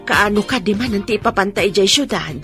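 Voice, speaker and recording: A woman's voice: mid-range voice, expressive, brisk, fairly bright tone, faint music behind. An actress with a Filipino accent playing a stern, worried mother in a radio drama. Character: stern, worried mother questioning her daughter.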